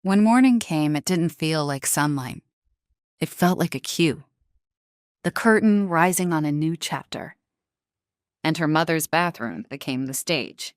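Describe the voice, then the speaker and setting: A woman narrates a scripted drama in a slightly low voice. Her delivery is measured and theatrical, like serialized audio fiction, with no room sound around it. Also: expressive, clean tone, dry recording, studio quality.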